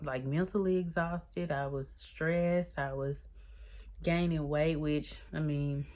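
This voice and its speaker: Low-voiced woman, 30s, chatty vlog talk, calls everyone y'all. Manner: chatty, addresses viewers as y'all, lively swings in pitch. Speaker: vlogger. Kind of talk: casual chat to the camera.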